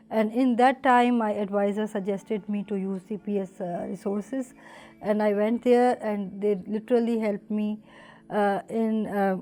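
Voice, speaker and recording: A woman from Pakistan, a doctoral student, telling her experience in an interview with many pauses and fillers. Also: mid-range voice, dark tone. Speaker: PhD student in journalism. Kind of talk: interview testimony.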